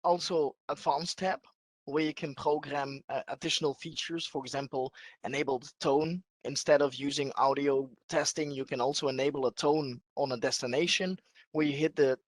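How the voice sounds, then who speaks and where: Guy doing a software walkthrough, kinda high voice, halting step by step, really dry sound. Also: fairly even intonation, dry recording. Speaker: webinar presenter. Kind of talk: live software demonstration.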